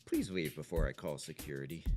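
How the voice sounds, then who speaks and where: A man's voice: a bass voice, lively intonation, a little fast, loud music behind the voice. A man playing a callous, irritable business magnate in an audio drama, curt and dismissive. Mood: irritated.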